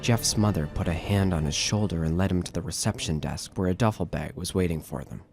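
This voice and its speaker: A man's voice: low voice, a little fast, vocal fry. A man giving scripted narration of a horror story as the narrator of an audio drama. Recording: music bed under narration.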